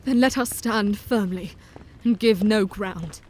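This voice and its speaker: A woman's voice: mid-range voice, slow pace, darkish tone. A woman playing a devout, brave young woman who narrates her story formally and earnestly. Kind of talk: audio drama narration.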